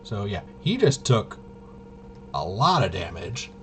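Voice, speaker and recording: A man's voice: lowish voice, very animated, takes it slowly, a bit bright, slight background noise. A man casually walking through tabletop gameplay, thinking aloud and hesitantly muttering to himself as he works things out. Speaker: solo tabletop RPG player and presenter.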